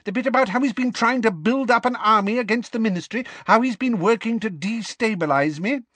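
A man voicing a pompous, excitable middle-aged government minister from a storybook, blustering and spluttering with emphasis. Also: on the high side, slow, no echo, voice pushed up, exaggerated voice. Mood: gleeful, excited.

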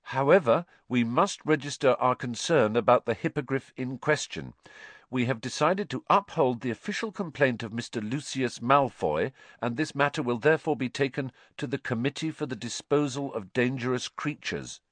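A man voicing a steady, caring teenage boy. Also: mid-range voice, normal speed, dry recording, voice pushed up, lightly acted. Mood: reading. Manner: steady, encouraging.

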